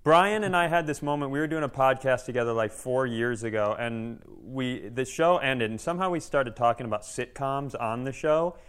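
Clear-voiced, foul-mouthed male podcast host. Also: mid-range voice, moderate pace, hardly any pauses, a bit bright. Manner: profane, teasing. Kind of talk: casual comedic banter.

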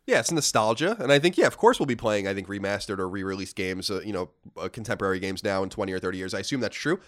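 A man's voice: on the low side, lively intonation, racing, fairly bright tone. A young man co-hosting a podcast in casual chat, sounding stuffy from a cold and reading listener emails aloud. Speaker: podcast co-host.

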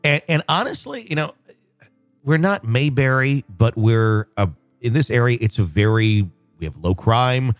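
A man's voice: mid-range voice, lively intonation, a little slow, no echo, faint music behind. A man hosting a casual podcast, rambling with fillers and restarts. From northwest Arkansas, USA. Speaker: podcast host.

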